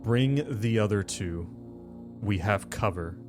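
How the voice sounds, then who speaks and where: A man with a fairly low voice works as game master for a tabletop roleplaying session. He explains rules and scenes in casual, conversational language, over clearly audible background music. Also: slow. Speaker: game master and show host.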